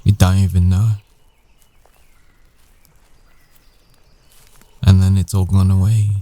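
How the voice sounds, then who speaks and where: A man playing a tender, weary werewolf ex-boyfriend in an intimate ASMR roleplay, with hushed, halting delivery. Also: low-pitched, little pitch movement, no room sound, natural voice. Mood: hushed, shaken.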